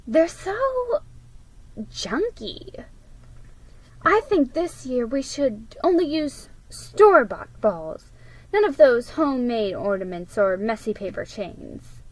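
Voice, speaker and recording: A woman's voice: high-pitched, very animated, slow pace, voice pushed up, heavily acted, low-quality recording. A woman voicing a haughty, fussy older girl in a storybook, scornful and prim in manner.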